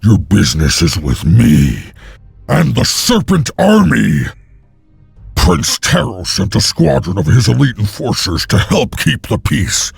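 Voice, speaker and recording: A brutish slave overseer from a fantasy game, sneering and gloating. The voice is very raspy and slow, and it swings widely between taunts. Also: male voice, lowish voice, huge pitch swings, soft background music, full character voice. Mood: bullying. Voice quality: raspy, gravelly. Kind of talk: video game villain acting.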